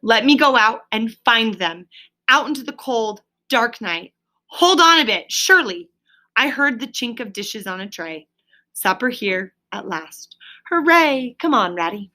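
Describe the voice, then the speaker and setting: A woman's voice: fairly high pitch, lively intonation, measured pace, sharp tone. A woman voicing a headstrong male toad from a storybook in a melodramatic, emphatic way. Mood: remorseful, then excited. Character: headstrong, dramatic male toad, indignant then remorseful.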